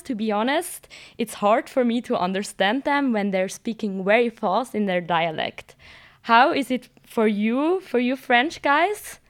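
A twenty-three-year-old woman from Switzerland, a student who chats in a lively way with quick restarts. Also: on the high side. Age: 20s. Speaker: student guest. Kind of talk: casual chat.

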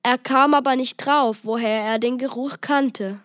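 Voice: mid-range voice, fairly even intonation, measured pace, a bit bright, no echo, clean studio sound. A child reading scripted narration for a children's story.

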